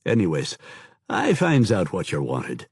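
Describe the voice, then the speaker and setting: A man voicing a rough-spoken veteran soldier scout who talks in coarse slangy dialect and keeps deferring to his officers. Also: low-pitched, huge pitch swings, unhurried, a little mellow, no room sound, studio quality, full character voice. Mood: gruff report.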